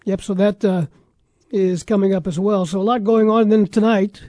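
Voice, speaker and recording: Mellow American sportscaster, man, dry close sound. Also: high voice, fairly even intonation, normal speed, dry recording. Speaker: sports radio talk show host. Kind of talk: casual sports talk and score rundown.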